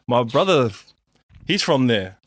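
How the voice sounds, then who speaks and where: Casting note: New man, easygoing guest, mid-pitched, full of "yeah, yeah", dry close mic. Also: very animated, slow pace, no room sound. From New Zealand. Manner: loose and chatty, many fillers like "you know" and "yeah". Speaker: podcast guest. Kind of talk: casual podcast chat.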